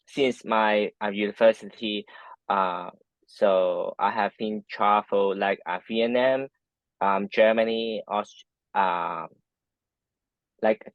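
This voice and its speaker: Young Hong Kong-accented man, Cantonese native, low voice, halting guest answers, dry lo-fi recording. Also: no echo. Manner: hesitant, many fillers and restarts. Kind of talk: casual interview answers.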